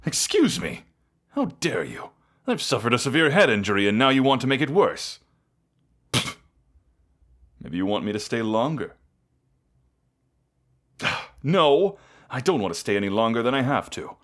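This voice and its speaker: A man's voice: medium pitch, a bit bright. A man playing a proud, bratty wounded knight with a haughty, grumbling manner in a fantasy audio roleplay. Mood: indignant, bratty. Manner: haughty, grumbling, reluctantly vulnerable. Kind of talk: scripted audio roleplay addressed to the listener.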